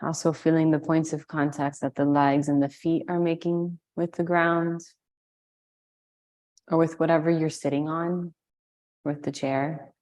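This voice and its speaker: A woman leading a guided meditation, giving slow, soothing instructions with long pauses. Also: deep voice, flat delivery, a little mellow. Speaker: meditation and yoga teacher.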